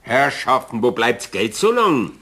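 Slow-talking Bavarian card player. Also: male voice, mid-range voice, big pitch swings, a bit bright. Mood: jovial, grumbling. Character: gruff, jovial male card-playing tavern crony. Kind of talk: radio play dialogue.

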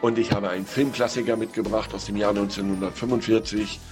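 A man speaking as a guest film critic on the radio, explaining in a measured way. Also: on the low side, fairly even intonation, music in the background.

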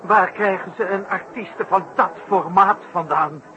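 A blunt, haughty circus man, speaks in a high, raised voice. The delivery is dramatic old radio acting on a muffled, noisy recording. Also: unhurried. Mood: distraught. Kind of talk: 1960s radio play acting.

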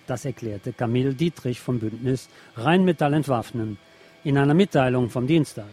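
Mellow-voiced man reading the news. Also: medium pitch, normal speed, husky, soft background music. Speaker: radio presenter reading news and a printed interview.

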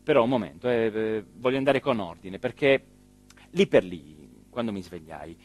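An actor playing a rueful, self-important small-town mayor in a rambling, hesitant theatrical monologue. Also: male voice, fairly low pitch, a little mellow, close to an everyday voice. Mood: musing. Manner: rambling, hesitant, emphatic, with repeated phrases and pauses. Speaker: stage actor performing a radio theatre monologue.

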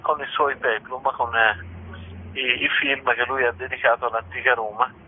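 A man's voice: medium pitch, steady tone, normal speed, slight background noise. A man being interviewed on radio, presenting information as an institution's representative over the telephone. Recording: muffled tinny phone line on radio.

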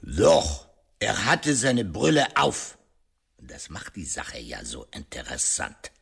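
A shrewd detective in a radio play, precise and sure of himself. His voice is slightly rough and husky, swinging widely in pitch as he builds dramatic pauses and pointed questions. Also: huge pitch swings, heavily acted. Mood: pointed, insistent. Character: shrewd, theatrical male detective, self-assured and precise. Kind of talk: radio drama monologue, explaining a case.

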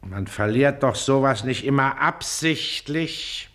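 An actor playing a pompous, irritable Prussian district magistrate in a German radio comedy, blustering and condescending. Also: male voice, mid-range voice, slow, a bit bright. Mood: officious, condescending. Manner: self-important, blustering, condescending. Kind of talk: German stage-comedy radio drama acting.

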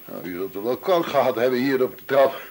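An actor in a radio play voicing an affable but evasive factory worker, an offhand bachelor given to grumbling. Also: male voice, mid-range voice, lively intonation, brisk, slight background noise, close to an everyday voice. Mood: offhand, polite. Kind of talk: radio drama dialogue.

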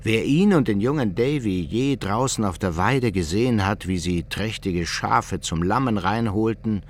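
A man's voice: fairly low pitch, unhurried, a little hiss or hum, natural voice. An actor playing a dry, scornful small-town man telling local gossip in a radio play. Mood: sardonic. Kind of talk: radio drama storytelling.

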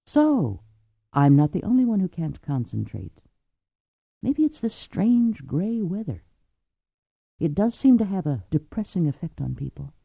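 A woman's voice: fairly low pitch, sing-song, unhurried, vocal fry, pauses often, breathy edge, dry recording, voice pushed up, heavily acted. A woman voicing a frightened, tearful pregnant young woman with an urgent manner. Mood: wry. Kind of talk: audiobook character voice. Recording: dull lo-fi audio.

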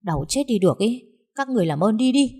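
A woman voicing an angry grown daughter who talks back with shrill, accusing, tearful words. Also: expressive, fast, dark tone, breathy edge. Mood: resentful. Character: angry grown daughter, sharp-tongued and bitter.

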